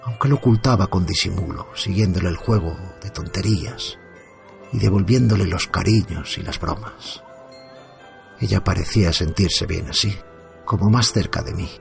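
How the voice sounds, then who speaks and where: Un hombre interpreta a un padre atormentado que narra un relato de terror en primera persona, con tono sombrío, medido y dramático. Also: male voice, deep voice, creaky, soft background music. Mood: subdued, gloomy. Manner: somber, measured, dramatic. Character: tormented father haunted by evil, telling his story. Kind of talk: first-person horror narration.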